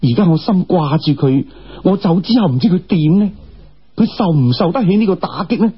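A man's voice: high voice, lively intonation, fairly quick, slightly rough, lo-fi sound, exaggerated voice. A man with a Cantonese accent voicing an earnest, filial young Taoist disciple in an audiobook folk tale, humble and pleading. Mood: anxious, pleading.

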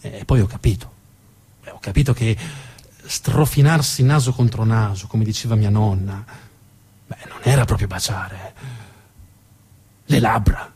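A man's voice: fairly low pitch, unhurried, long pauses, mellow, slightly rough, voice pushed down. A man playing a wistful eighteen-year-old lad. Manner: wistful, self-deprecating storytelling. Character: young man, eighteen, recalling his first kiss.

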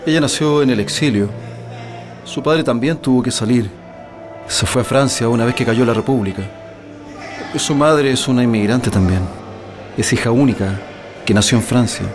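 A man's voice: mid-range voice, normal speed, pauses often, music in the background, close to an everyday voice. An actor with a Chilean accent playing a weary male political prisoner in a radio drama. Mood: reticent, reflective.

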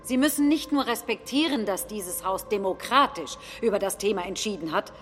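An actress playing a stern, formal parliament chair who firmly admonishes in a staged reading of a debate. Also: female voice, medium pitch, normal speed, soft background music, close to an everyday voice. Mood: stern, admonishing.

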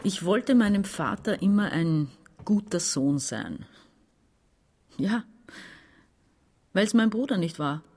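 Lively, resentful Austrian daughter. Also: female voice, mid-range voice, slow pace, long pauses, husky, exaggerated voice. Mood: wistful, proud. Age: 40s. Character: resentful, domineering daughter, embittered woman around forty. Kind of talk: German-language radio drama.

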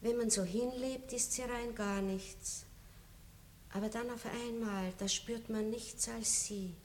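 An actress playing a gracious, aging aristocratic lady in a 1960s radio play, speaking softly with a tinge. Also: fairly high pitch, steady tone, slight background noise. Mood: melancholy. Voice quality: soft, measured, melancholy. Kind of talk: 1960s radio drama acting.

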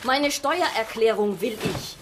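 Demanding, short-tempered woman, a little high, noise behind. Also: expressive, a little slow. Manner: curt and commanding. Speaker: midwife holding an office hostage.

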